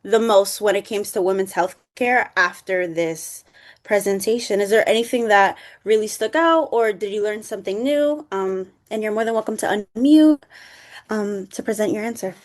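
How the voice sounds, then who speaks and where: Passionate woman moderating a panel. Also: mid-range voice. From the Bronx, New York. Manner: passionate, quick, lots of "like" fillers. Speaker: conference moderator. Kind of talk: online panel discussion.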